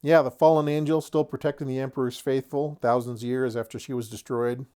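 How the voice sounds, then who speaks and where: A man with a mid-pitched voice hosts a casual product showcase. He talks in a chatty, folksy way, like someone sharing a hobby, and slips in lightly humorous asides as he describes things. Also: little pitch movement, fairly quick, warm tone, clean tone. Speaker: host of a tabletop wargaming terrain review.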